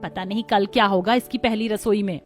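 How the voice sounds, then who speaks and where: A woman's voice: mid-range voice, fairly even intonation, fairly quick, soft background music, natural voice. A woman playing a traditional, dutiful village daughter-in-law in a scripted cartoon. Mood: bewildered.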